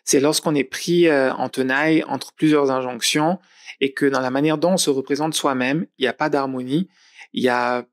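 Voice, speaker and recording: A man's voice: medium pitch, no echo, clean studio sound. Thoughtful man. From Mali. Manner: thoughtful, measured, with frequent hesitant fillers. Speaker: psychologist interviewee. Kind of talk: reflective interview answer.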